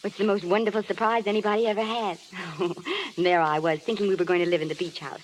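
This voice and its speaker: An actress playing a sweet, earnest, devoted young Hollywood bride, soft and sincere in old radio drama style. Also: female voice, medium pitch, fairly even intonation, quick pace, a little hiss or hum, lightly acted, low-quality recording. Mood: happy, polite. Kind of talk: 1930s-40s radio drama acting. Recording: old radio recording with music.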